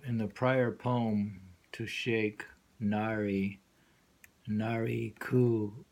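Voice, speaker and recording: A man reading poems aloud with informal commentary, unhurried and musing, leaving pauses as he goes. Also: on the low side, creaky, a little mellow. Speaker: poetry reader.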